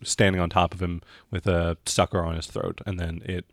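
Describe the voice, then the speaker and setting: A man's voice: low voice, little pitch movement, normal speed, vocal fry, no echo, clean studio sound. A man narrating an improvised tabletop roleplaying game as the game master, in a conversational way.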